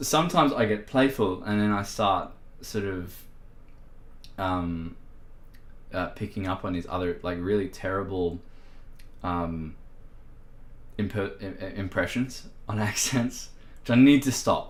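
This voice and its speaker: A man's voice: low-pitched, a little slow, long pauses. A man hosting a casual livestream, chatting in a rambling, self-deprecating way with plenty of fillers. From Australia. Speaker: livestream host. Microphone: streaming microphone. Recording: echoey room.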